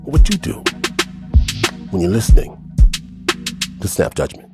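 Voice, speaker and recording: Animated male podcast host over loud music. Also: on the low side, a little slow. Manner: theatrical, dramatic phrasing. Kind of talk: scripted storytelling intro over music. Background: hip hop music.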